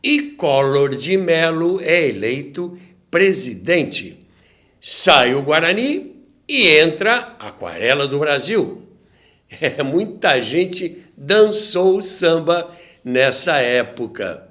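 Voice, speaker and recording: Animated, unhurried Brazilian man narrating. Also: a little high. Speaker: host and narrator of a radio history show. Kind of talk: storytelling documentary narration.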